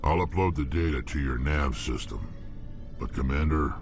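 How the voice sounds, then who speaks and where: An actor playing a hardened, blunt male alien mercenary warrior with a gruff, world-weary manner, in a video game. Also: rumbling low, normal speed. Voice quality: gravelly. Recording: game audio with music behind.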